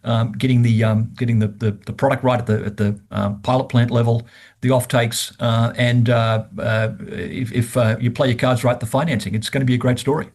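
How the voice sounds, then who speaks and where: A man from Australia hosting a business interview, asking questions in a halting way and repeating words often. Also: medium pitch, steady tone, a little mellow. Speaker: interview host.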